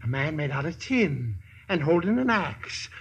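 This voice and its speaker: An actor playing a naive, eager living scarecrow in a wide-eyed, exclamatory 1950s radio style. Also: male voice, on the high side, sing-song, a little slow, vocal fry, breathy edge, exaggerated voice. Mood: curious.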